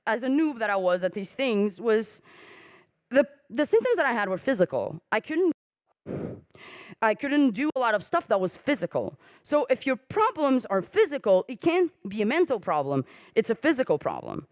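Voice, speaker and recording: A woman's voice: very animated, normal speed, a little mellow, no room sound, clean studio sound. A young adult woman whose first language is Portuguese, giving a candid, self-mocking conference talk on stage, swearing casually and acting out quoted conversations. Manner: acts out quoted dialogue with jumps in pitch. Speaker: conference speaker.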